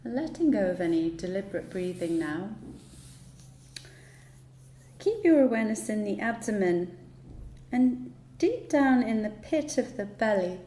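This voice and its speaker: A woman guiding a relaxation meditation in a soothing, unhurried manner, leaving long pauses between instructions. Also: mid-range voice, animated, dark tone. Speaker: yoga nidra guide. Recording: roomy echo, light background noise.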